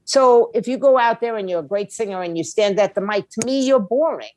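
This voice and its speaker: A woman's voice: medium pitch, a little fast, a little mellow, dry recording. Blunt, opinionated woman, dry close sound. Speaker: guest, music label owner and attorney. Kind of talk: conversational interview answers.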